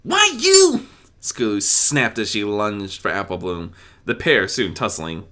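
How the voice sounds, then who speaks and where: A man's voice: lowish voice, a little slow, pushed and bright. A man reading a scripted story aloud as its narrator. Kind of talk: scripted fan-fiction narration.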